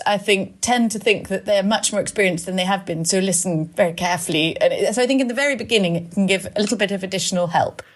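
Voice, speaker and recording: A woman speaking conversationally as a panel guest, thoughtful and discursive as she makes her points. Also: mid-range voice. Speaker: panel guest, social entrepreneur.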